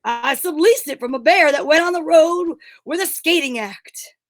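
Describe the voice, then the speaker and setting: A very high-pitched cave hermit acted by a woman, grumpy and fed up with people. He answers in theatrical deadpan, radio comedy style. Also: normal speed, natural voice. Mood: secretive, deadpan. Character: reclusive male hermit in a lion skin, grumpy misanthrope. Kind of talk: amateur old-time radio comedy acting.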